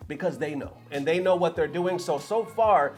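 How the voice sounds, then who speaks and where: A man from California's Bay Area with a fairly high voice hosts a casual gaming vlog. He talks in an animated, slangy, conversational way and swears freely. Music plays behind him. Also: normal speed. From San Francisco Bay Area, California. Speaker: YouTube gaming news host. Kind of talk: casual opinionated vlog talk to viewers. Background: background music.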